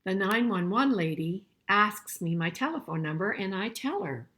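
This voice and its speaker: An older woman reading a picture book aloud to children in an expressive way, pausing to chat and ask them questions. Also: mid-range voice. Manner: expressive, asking listeners questions as she goes. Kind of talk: children's storybook read-aloud with conversational asides and questions.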